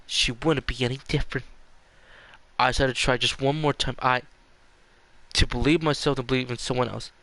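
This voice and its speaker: A man voicing an earnest, guilt-ridden young man from a visual novel, halting and stammering in a subdued way. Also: mid-range voice, fairly even intonation, a bit bright, no echo, lightly acted, rough recording. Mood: anxious, earnest. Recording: music behind the voice.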